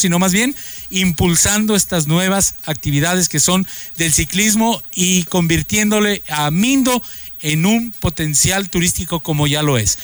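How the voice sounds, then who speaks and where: A man's voice: high-pitched, a bit bright. A man reporting live for radio from a town park, speaking effusively with many hesitations and restarts. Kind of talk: live radio remote broadcast. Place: outdoors in a town park, in the rain.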